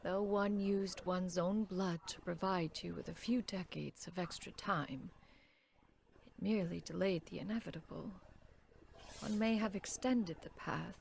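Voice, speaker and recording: Stately, creaky immortal crane mentor. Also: female voice, fairly low pitch, a little slow, a little hiss or hum. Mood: grave, measured. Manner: formal, archaic, refers to self as "one". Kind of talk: scripted game character dialogue.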